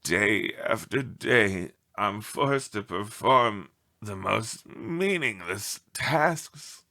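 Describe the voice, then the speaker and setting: A man's voice: lowish voice, expressive, very slow, pushed and bright, voice pushed down, full character voice. A man voicing an indignant, self-pitying pet dog in a theatrical storybook read-aloud. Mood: put-upon, dramatic. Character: aggrieved melodramatic pet dog writing pleading letters home.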